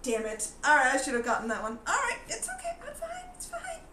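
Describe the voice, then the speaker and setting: A woman's voice: sits high, wildly swooping pitch, a little fast, recorded from a distance. A woman chatting casually as co-host, telling stories animatedly, acting out her own reactions and laughing often. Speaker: co-host of a live stream. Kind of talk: casual chat. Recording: echoey room, somewhat off-mic, faint noise.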